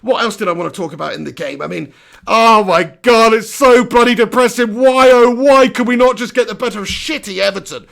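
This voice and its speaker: A man hosting a live post-match reaction, hoarse from losing his voice, ranting in an animated, exasperated way. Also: very high voice, a little fast. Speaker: football fan channel host.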